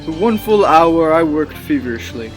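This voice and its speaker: A tormented, guilt-ridden scientist, played by a man with a somewhat high voice. He speaks with fervent, theatrical anguish in old radio drama style, over faint music. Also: animated, normal speed, close to an everyday voice, low-quality recording. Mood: tense narrating. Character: tormented, guilt-ridden male scientist haunted by his creation. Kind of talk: old-time radio drama acting and narration.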